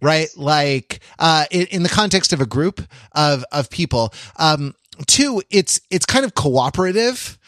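Male podcast co-host, slightly high voice, analytical but casual, halting and self-correcting, recorded dry. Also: expressive, fairly bright tone, no room sound.

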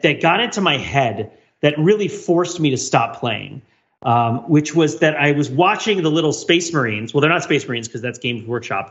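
A man's voice: on the high side, a bit bright, muffled recording. A man co-hosting a podcast, talking reflectively in long, winding explanations. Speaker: podcast co-host.

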